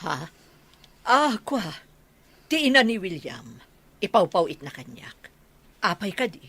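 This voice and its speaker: A woman's voice: medium pitch, big pitch swings, unhurried, fairly bright tone, breathy edge, exaggerated voice. A woman with an Ilocano accent playing an older housekeeper and mother in a comedy radio drama, scolding, exclaiming and pleading.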